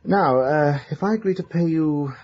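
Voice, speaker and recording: A man's voice: a little high, normal speed, a little mellow, slight background noise, natural voice. A man playing a studious, unworried young scholar with an educated British accent, precise and dryly dismissive, in a radio drama. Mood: brisk, businesslike. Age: young adult. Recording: dull lo-fi radio drama recording.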